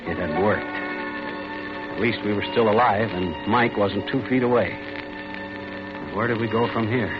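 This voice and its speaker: A man's voice: on the low side, moderate pace, long pauses, close to an everyday voice. An actor playing a tense middle-aged husband telling his own ordeal in hard-boiled, dramatic old radio thriller style. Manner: hard-boiled, dramatic first-person storytelling. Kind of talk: 1940s radio thriller narration. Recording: muffled old radio recording with music.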